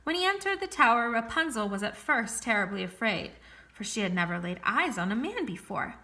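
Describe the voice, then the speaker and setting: A woman reading a fairy tale aloud in a clear, expressive, measured way. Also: on the high side. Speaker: storyteller.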